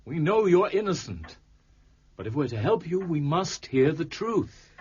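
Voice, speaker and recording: An actor playing a brilliant, eccentric amateur detective in an audio drama, arch and precise with wry theatrical delivery. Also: male voice, a little high, very animated, unhurried, a little mellow, husky, exaggerated voice. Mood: commanding, triumphant. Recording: muffled, noisy lo-fi audio.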